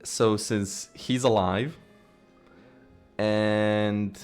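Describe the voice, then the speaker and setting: A man giving casual gameplay commentary as a streamer, rambling and theorizing excitedly, swearing now and then. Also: fairly low pitch, extremely slow, smooth, soft background music. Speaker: game streamer.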